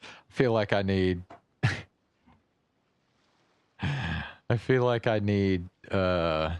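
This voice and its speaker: Deep-voiced, slow-talking man streaming. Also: clear, resonant tone, no room sound. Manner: halting, slangy. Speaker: game streamer. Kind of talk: casual livestream commentary.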